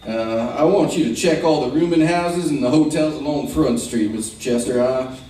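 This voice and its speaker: A man playing a polite, steady, somewhat hesitant marshal in an old-time radio western. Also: mid-range voice, normal speed, natural voice. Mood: steady, businesslike. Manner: hesitant, courteous, understated. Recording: distant, very echoey stage recording.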